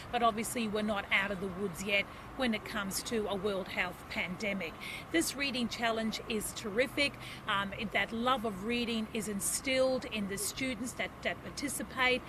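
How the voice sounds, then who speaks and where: An Australian woman speaks as a government minister making prepared public remarks. Her voice is somewhat high in pitch. Urban and vehicle noise is clearly audible around her outdoors. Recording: outdoor audio with traffic noise.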